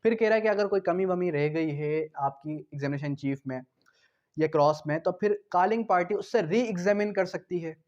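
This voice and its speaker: High-pitched man lecturing, dull recording. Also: moderate pace, darkish tone. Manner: didactic, repeats points and asks rhetorical questions; switches between Hindi and English. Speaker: law lecturer. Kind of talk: explanatory lecture, reading out legal articles and paraphrasing them. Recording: dull, lo-fi sound.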